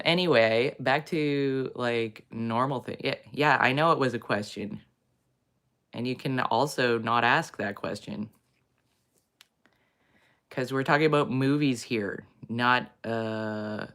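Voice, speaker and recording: A woman hosting a casual chat, rambling with many fillers, in a low morning voice. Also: slow, pauses often, fairly bright tone. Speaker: host. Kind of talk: casual chat reading viewer comments.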